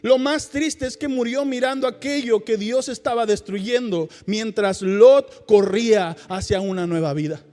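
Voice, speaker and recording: Man, pastor, very high voice, fervent emphatic preaching, faint music underneath. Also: measured pace, few pauses, darkish tone, big-room sound. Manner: fervent, emphatic preaching with repeated calls of "Señor". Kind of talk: sermon and congregational prayer. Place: church service.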